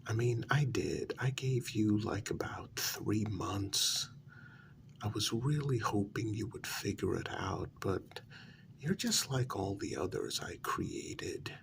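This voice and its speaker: A man playing a condescending, unhinged asylum patient in a soft-spoken ASMR role-play, halting and matter-of-fact, often slipping toward a half-whisper. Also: lowish voice, animated, gravelly, a little hiss or hum, heavily acted. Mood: smug, matter-of-fact. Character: condescending, unhinged male asylum patient who believes he created everyone.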